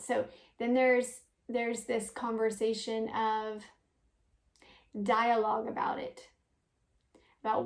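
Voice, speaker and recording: A woman's voice: on the high side, vocal fry. A woman in her forties, a spiritual teacher and parent, speaking in an earnest, musing monologue with frequent "um" and "like". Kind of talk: reflective spoken monologue. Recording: echoey room.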